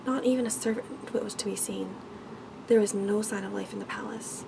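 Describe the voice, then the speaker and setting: A soft-spoken woman reads a bedtime story in a gentle, careful ASMR style, often dropping toward a whisper. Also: mid-range voice, steady tone, moderate pace, vocal fry, background noise. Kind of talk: ASMR bedtime story reading, scripted narration.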